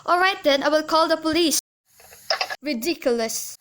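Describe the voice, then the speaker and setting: High-pitched, fretful, demanding wife played by a woman. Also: normal speed, heavy background noise, lightly acted. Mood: exasperated, indignant. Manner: agitated, insistent, imperious. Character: nervous, demanding, indignant invalid wife in a thriller. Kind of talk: radio play acting.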